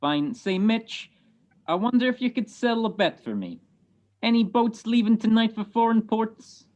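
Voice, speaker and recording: A gruff, wisecracking homicide sergeant , acted by a woman, from an old detective radio play. The delivery swings widely in pitch, with blunt slang and sardonic jabs at the suspects. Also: lively intonation, moderate pace, a little mellow, dull audio, lightly acted. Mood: grudging. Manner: sardonic, slangy, blunt tough-cop talk. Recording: video call recording.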